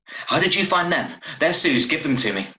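A man's voice: fairly high pitch, sing-song, normal speed, bright, forceful tone, recorded from a distance, voice pushed up. A man voicing a grieving, dazed woman in a short story read aloud. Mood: urgent. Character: grieving, dazed woman searching for her sister or friend. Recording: roomy, echoey video-call sound.

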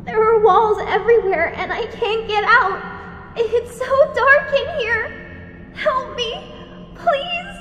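Lost little ghost girl: piercing bright, slow, frightened and pleading, music underneath. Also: extremely high pitch, muffled recording, exaggerated voice. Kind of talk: audio drama acting. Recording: echoey hall reverb, dulled, music underneath.

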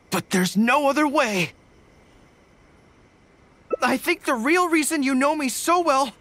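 A woman's voice: medium pitch, a bit bright. A woman voicing an earnest, easily flustered young dog-eared warrior boy in an anime game, speaking dramatically. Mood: tense resolve, then bold, strained.

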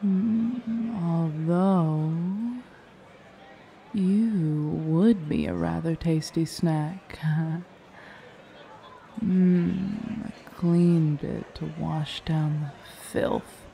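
Woman as predatory vampire girl, audio roleplay, languid taunting drawl, extremely slow, dark soft tone. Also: lowish voice, full character voice, rough recording. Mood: hungry. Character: haughty young female vampire, drugged, predatory and contemptuous. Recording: clear background noise and ambience.

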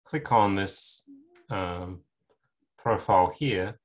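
A middle-aged man lecturing in an informal technical tutorial over a video call, explaining things step by step with pauses. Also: low voice. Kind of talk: informal technical tutorial narrating slides and screen demo.

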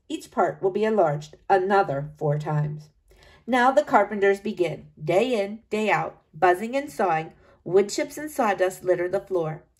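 A woman voicing a diligent, observant woman assistant in a sculptor's workshop, in a steady descriptive storybook reading. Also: mid-range voice, lightly acted.

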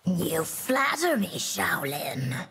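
A woman playing a sly, taunting monstrous warrior princess in a fighting game, raspy, theatrical and mocking. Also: lowish voice, sing-song, slow pace, background noise, full character voice.

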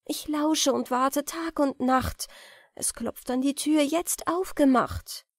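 Woman voicing a plaintive little girl, higher pitched. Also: slow, no echo, clean studio sound. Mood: plaintive, anxious. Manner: plaintive, pleading. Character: anxious, plaintive little girl, gardener's daughter. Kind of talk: fairy-tale audiobook character reading.

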